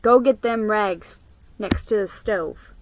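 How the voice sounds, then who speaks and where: A woman voicing a gentle, hardworking mother from an old-time storybook, with homely, folksy phrasing. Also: medium pitch, slow pace, a little hiss or hum, close to an everyday voice, poor audio. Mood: gentle, instructing.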